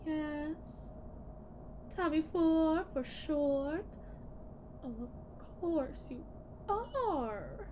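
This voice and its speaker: A woman playing a condescending, menacing lead technician at a shady tech company in a scripted ASMR roleplay, theatrical and patronizing with deliberate pauses. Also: high voice, a little hiss or hum, rough recording.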